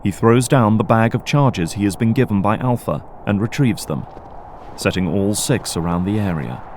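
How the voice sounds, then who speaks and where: A man's voice: lowish voice, moderate pace, a little mellow, slight background noise. A man giving scripted narration as the storyteller of an audio drama.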